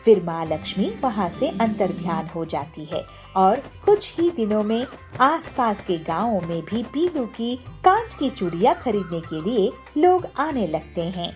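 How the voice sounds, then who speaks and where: Lady storyteller for kids cartoons, very expressive pitch, light music behind. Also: unhurried. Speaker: story narrator. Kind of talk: scripted children's story narration.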